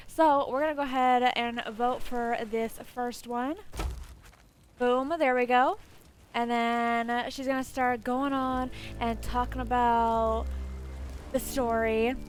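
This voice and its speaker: A woman hosting a casual game walkthrough, chatting informally and addressing viewers directly. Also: a little high. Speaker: gameplay video host. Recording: poor home mic, faint noise.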